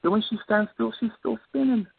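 A man's voice: high voice, fairly even intonation, a little fast, vocal fry, husky, dry recording, full character voice. A man acting a sarcastic, protective young best friend in an amateur radio play, teasing and exclamatory. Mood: exasperated. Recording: muffled, thin phone-line audio.

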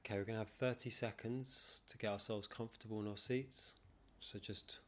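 A man leading a guided meditation as a teacher, calm and measured, leaving long pauses. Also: lowish voice. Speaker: meditation teacher, podcast guest. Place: non-soundproofed room.

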